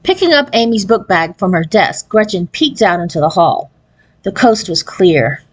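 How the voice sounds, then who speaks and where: A woman narrating a children's novel as an expressive read-aloud. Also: mid-range voice, moderate pace. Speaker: storybook reader.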